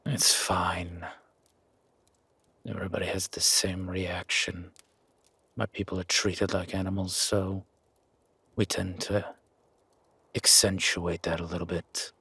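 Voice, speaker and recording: Man acting as a raspy, brooding low-voiced werewolf. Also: flat delivery, bright, forceful tone, dry recording, full character voice. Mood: resigned. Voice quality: raspy, gravelly whisper-edged growl. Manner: slow, brooding, terse commands. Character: gruff male werewolf founder, wary, grudgingly kind. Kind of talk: roleplay audio drama acting.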